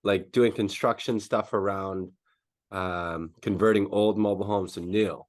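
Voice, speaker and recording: A man with a fairly low voice, originally from the Philippines, is a guest on a podcast. He speaks in a casual, informal way with some hesitation. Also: steady tone, unhurried. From Philippines, moved to California as a child. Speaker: podcast guest, mobile home renovation business owner. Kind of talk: casual chat.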